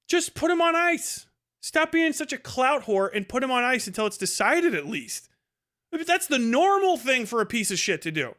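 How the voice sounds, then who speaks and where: A man's voice: sits high, a bit bright. A man giving casual, profane live commentary in an exasperated, scornful manner with heavy swearing. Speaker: livestream host reacting to a debate.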